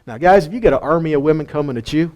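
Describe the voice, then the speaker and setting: A man giving an informal Bible teaching, animated and full of rhetorical questions and light jokes. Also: a little high, racing. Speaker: teacher of a weekly Torah portion.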